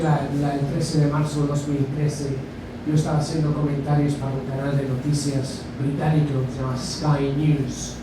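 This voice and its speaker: British male guest lecturer, Spanish with Argentine-tinged accent, halting delivery, distant mic in echoey hall. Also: on the high side. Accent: British speaking Spanish with Argentine touches. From Britain. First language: English. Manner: halting, with frequent fillers and restarts. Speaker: guest speaker, journalist and author. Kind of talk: lecture to a university audience. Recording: echoey lecture hall from far off, background noise.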